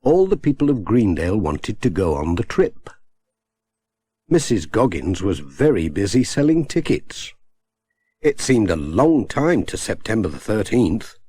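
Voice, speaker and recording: A man with a British accent narrating a children's story in a measured, expressive storytelling manner. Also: on the low side, breathy edge. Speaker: audiobook narrator.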